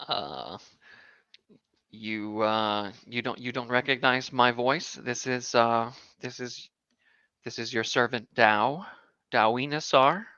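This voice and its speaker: A man's voice: mid-range voice, slow pace, pushed and bright, dry recording, muffled recording. A man playing a cleric in a tabletop game, speaking in a hesitant, searching way with many fillers. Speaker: player.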